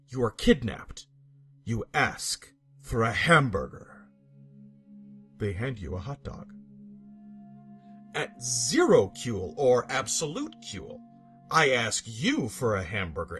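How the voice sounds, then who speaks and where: A man giving a dramatic scripted narration of absurd fiction, with a theatrical, emphatic delivery. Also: mid-range voice. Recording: music bed behind narration. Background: background music.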